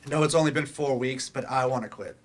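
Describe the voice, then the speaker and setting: An actor playing a working-class adult student in a staged play reading. The delivery is quick and blunt, with a weary, plain-spoken feel. The pitch sits in the middle. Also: slight background noise, close to an everyday voice. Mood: disgruntled.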